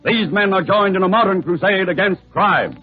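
A man's voice: high-pitched, normal speed. A man announcing and narrating an old radio crime drama in a scripted 1940s style. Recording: tinny vintage radio recording, music behind.

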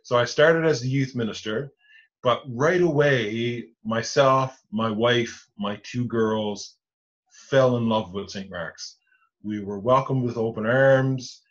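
Measured priest on a muffled video call. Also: male voice, mid-range voice. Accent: Newfoundland English. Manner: measured, explains step by step with many restarts. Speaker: Anglican priest, rector. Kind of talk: explanatory interview answers. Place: home study with bookshelves. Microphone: video call webcam.

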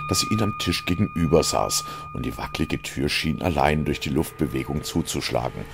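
A man narrating a science fiction audio story in a brooding, deliberate way. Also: rumbling low, wildly swooping pitch, background noise. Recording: music under the voice. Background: music.

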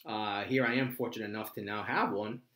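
A middle-aged American man chatting casually in a halting, reflective way, often repeating words. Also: lowish voice, steady tone, fairly bright tone. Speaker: co-host, the father. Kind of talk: casual chat. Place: small room indoors, seated at a table. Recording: slightly echoey room.

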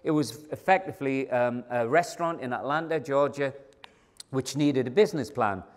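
A man's voice: medium pitch, moderate pace. An older man speaks to a packed conference hall in a conversational, emphatic way, putting questions straight to the audience. His voice sits in a middle register and carries a long reverb tail from the big room. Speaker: keynote speaker. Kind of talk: conference keynote talk.

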